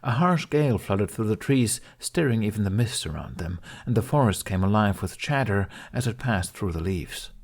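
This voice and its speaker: A man with a lowish voice narrates a fantasy fan fiction. His reading is calm and even, with a steady storyteller's pace. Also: normal speed, hardly any pauses. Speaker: podfic reader. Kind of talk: audiobook narration.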